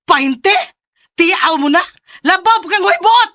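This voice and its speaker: Angry mother, Cebuano accent, extremely high voice, sharp and forceful, interrogating, exclaiming, radio drama. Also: animated, slow pace, no room sound, studio quality, exaggerated voice. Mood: scolding. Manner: sharp, scolding, exclaiming, firing questions. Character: furious scolding mother of a pregnant teenage daughter. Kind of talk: Visayan radio drama acting.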